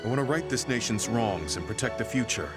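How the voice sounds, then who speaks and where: An actor playing a stoic, earnest veteran soldier in a video game, speaking in a serious, reserved, measured way. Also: male voice, mid-range voice, animated, lightly acted. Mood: grimly resolute. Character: stoic, earnest male veteran soldier, quietly grieving and resolute. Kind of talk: English video game voice acting. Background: soft background music.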